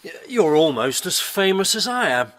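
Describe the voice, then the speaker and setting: An actor in a radio drama playing a sardonic, inquisitive, educated gentleman novelist and lawyer. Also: high-pitched, big pitch swings, moderate pace. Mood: sardonic, probing.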